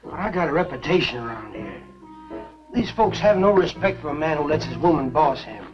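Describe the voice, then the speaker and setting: A man acts out a possessive country husband with wounded pride and a fairly high voice. It is 1950s B-movie melodrama, on a muffled old soundtrack with music underneath. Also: animated, brisk, natural voice. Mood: whining, pleading.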